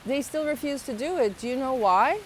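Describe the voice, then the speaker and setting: A woman's voice: sits high, expressive, fairly quick. Earnest woman vlogging over rain noise. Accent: slight foreign accent. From abroad, moved to the United States at twelve. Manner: earnest, musing, frequent ums and rising emphatic questions. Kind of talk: casual opinion vlog to viewers.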